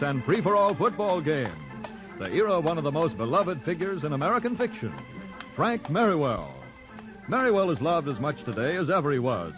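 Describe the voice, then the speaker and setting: A man narrating an old radio serial as a grand, nostalgic, theatrical announcer. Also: on the high side. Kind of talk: 1940s radio drama announcing, scripted narration. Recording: dull vintage 1940s radio recording with music.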